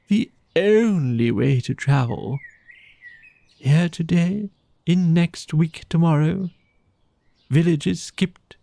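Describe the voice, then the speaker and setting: A man voicing a slow, dreamy, besotted toad. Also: a little high, vocal fry, mellow, no echo, pitched above the performer's own voice. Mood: rapturous, dreamy. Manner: murmuring, drawn-out, rapturous delivery. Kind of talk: children's storybook character voice.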